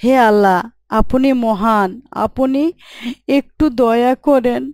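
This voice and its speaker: A woman's voice: on the high side, slow, darkish tone, clear, resonant tone, no room sound, studio quality. A woman playing a poor, devout, tender wife and mother in an Islamic story cartoon, earnest and imploring. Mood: tearful pleading.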